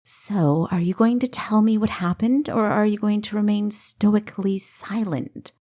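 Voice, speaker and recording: A woman voicing a stern female doctor in a fantasy audiobook, brisk and blunt with a dry wit. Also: mid-range voice, fairly even intonation, mellow, voice pushed up, natural voice. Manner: economical, blunt.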